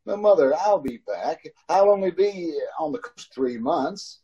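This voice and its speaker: A man's voice: on the high side, lively intonation, measured pace, dark tone, breathy edge, highs cut off, full character voice. A man playing an anxious driver in his thirties in an old-time radio drama, with dramatic delivery. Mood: cheerful, reassuring. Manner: urgent, dramatic first-person narration. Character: haunted, anxious thirty-six-year-old male driver telling his story.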